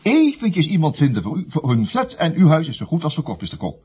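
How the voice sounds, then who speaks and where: Flustered young estate agent, glib stammering patter, lively higher-pitched voice, radio comedy. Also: male voice, fast talker, husky, full character voice. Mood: nervous, placating. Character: scheming, optimistic, flustered young estate agent George Steele. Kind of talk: Dutch radio play comedy acting.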